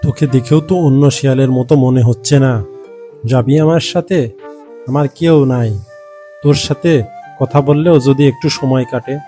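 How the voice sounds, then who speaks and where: A man's voice: medium pitch, fairly even intonation, normal speed, long pauses, dark tone, natural voice. Kindly lonely village farmer, music behind man acting. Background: background music.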